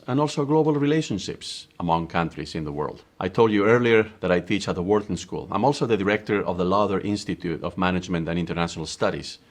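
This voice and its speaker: A middle-aged professor from Spain lectures with a Spanish accent. His voice sits very low. He speaks in a measured, emphatic way and poses questions to his students. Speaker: university professor. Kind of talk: recorded online course lecture.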